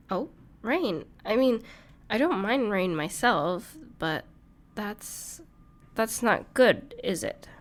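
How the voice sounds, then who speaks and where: A woman playing a gentle, caring dragon friend in an intimate audio roleplay, speaking reassuringly to the listener with some hesitation. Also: fairly high pitch. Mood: concerned, gentle. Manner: soft-hearted, reassuring, hesitant with small laughs.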